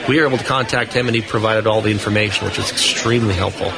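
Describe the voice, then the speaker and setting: A man's voice: fairly low pitch, steady tone, rapid-fire, vocal fry. A man giving detailed, technical explanations and stories as a guest in a live radio interview. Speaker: guest, amateur radio expert. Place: busy indoor convention hall booth. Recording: busy convention floor crowd noise. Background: crowd chatter.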